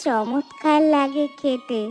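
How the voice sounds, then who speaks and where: A woman's voice: high-pitched, takes it slowly, a little mellow, faint music behind. Woman voicing chatty, kindly village aunt. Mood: friendly, reassuring. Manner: reassuring, chatty. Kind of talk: scripted cartoon story dialogue.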